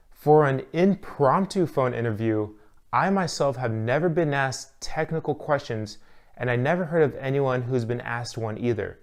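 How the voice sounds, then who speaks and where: A man narrates instructional material as a course teacher. His voice sits at a medium pitch. He speaks in a clear, measured way, giving practical advice from a prepared script. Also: a little mellow.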